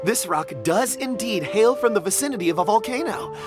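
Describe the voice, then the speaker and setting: An actor playing a gentle, absent-minded young man devoted to rocks, in a game dub. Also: sits high, lively intonation, brisk. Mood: admiring. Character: dreamy, forgetful young man obsessed with rocks. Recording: faint game music behind.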